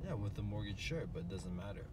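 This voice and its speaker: A man from California, a businessman talking in a car, explaining his reasoning in a calm, self-assured, matter-of-fact way. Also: lowish voice, fast, crowd noise. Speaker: guest, businessman. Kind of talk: casual debate in a car. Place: inside a moving car. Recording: distant voice with loud road noise in a car.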